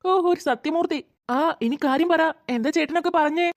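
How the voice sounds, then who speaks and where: A performer voicing a good-natured family man in a cartoon, with a pitched-up, childlike sound. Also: brisk, no room sound, studio quality. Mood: anxious. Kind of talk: Malayalam family cartoon dialogue.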